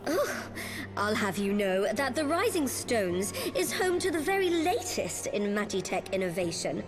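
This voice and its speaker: A woman's voice: mid-range voice, very animated, a little slow, over music. A woman voicing a proud, prim and spirited lady in a fantasy game, politely boastful in her delivery. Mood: proudly defensive.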